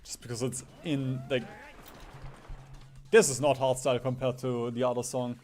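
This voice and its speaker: A man's voice: mid-range voice, level tone, moderate pace, darkish tone. A man doing casual gaming stream commentary in a rambling, jokey way, swearing casually. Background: background music.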